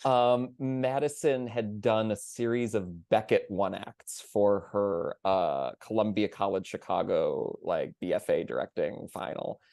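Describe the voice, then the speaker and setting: A man's voice: fairly low pitch, measured pace, gravelly, few pauses, a little mellow, no echo, clean studio sound. A man talking conversationally on a theater panel, earnest and emphatic, circling around his phrasing.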